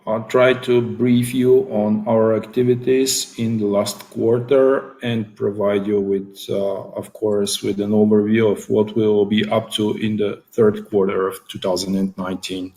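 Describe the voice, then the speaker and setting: A man with a Bulgarian accent gives a business briefing as a chief executive, pausing to find his words. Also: fairly low pitch, little pitch movement, warm tone, poor audio. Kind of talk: semi-scripted business briefing.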